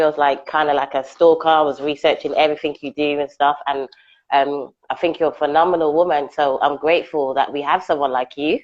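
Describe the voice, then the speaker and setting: A woman's voice: sits low, darkish tone, muffled recording. A woman hosting a live-stream interview, effusive and full of compliments, speaking over a video call. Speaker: host.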